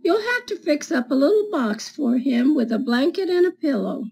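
A woman in her seventies reading a children's picture book aloud in a deliberate manner, as a storyteller talking to young listeners. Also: high voice, big pitch swings, creaky, low-quality recording. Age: 70s. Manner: deliberate, explaining pictures with gentle questions to children. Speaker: read-aloud storyteller for schoolchildren. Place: small home room with bookshelves, indoors. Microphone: webcam at desk distance.

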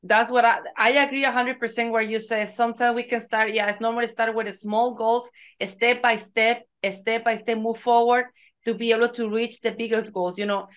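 Woman, host, video call, halting, repeats words, fairly high pitch. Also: level tone. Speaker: podcast host. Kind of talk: casual video-call interview.

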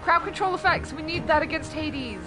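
A woman's voice: high voice, moderate pace, music in the background, low-quality recording. A young woman with a Yorkshire accent, chatting casually and swearing while playing an online game with a friend, teasing and panicky by turns. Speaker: gaming streamer. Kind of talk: casual co-op gameplay chat.

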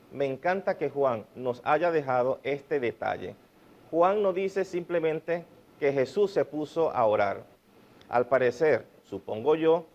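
A man in his forties preaching a sermon while seated at a desk, measured and emphatic, repeating key phrases. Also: medium pitch, a little mellow. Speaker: pastor. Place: small church stage with painted mural, indoors. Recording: slightly dull lo-fi sound.

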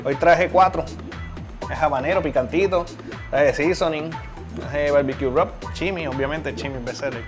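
A man's voice: on the high side, moderate pace. A man in his thirties with a Puerto Rican accent, chatting casually and jokily as he hosts and demonstrates cooking. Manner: joking, colloquial. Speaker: chef and cooking show host. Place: home kitchen. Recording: music playing behind the voice. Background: music.

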